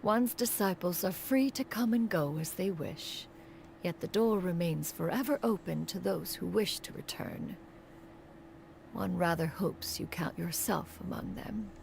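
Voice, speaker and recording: Stately, creaky-voiced ancient immortal woman. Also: on the low side, unhurried, darkish tone, a little hiss or hum. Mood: stately, gentle. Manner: formal, stately, speaks of self as "one". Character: ancient aloof adeptus crane woman, dignified, sagely master. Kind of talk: video game voice acting. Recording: music behind the voice. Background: music.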